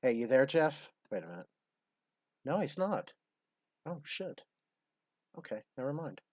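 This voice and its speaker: A man's voice: medium pitch, big pitch swings, a little slow, a little mellow, dry recording, studio quality. A man in his fifties hosting a casual commentary, blunt, sarcastic and profane. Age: 50s. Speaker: host.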